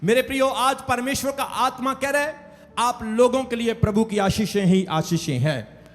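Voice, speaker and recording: A man's voice: very high-pitched, normal speed. A man with a Punjabi accent preaching an emphatic, repetitive call-and-response sermon to a congregation. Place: large church hall, preaching from pulpit. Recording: echoey hall with long reverb.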